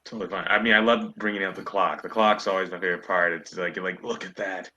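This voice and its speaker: A man's voice: deep voice, fairly even intonation, fast, pushed and bright, dull audio. A man chatting casually as a podcast guest in a jokey, easygoing manner. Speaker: podcast guest, filmmaker and playwright. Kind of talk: casual chat.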